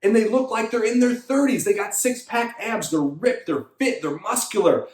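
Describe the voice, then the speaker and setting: A man's voice: high voice, moderate pace, reverberant. A man in his thirties, a fitness coach and show host, delivering an emphatic, repetitive motivational monologue to his listeners. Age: 30s.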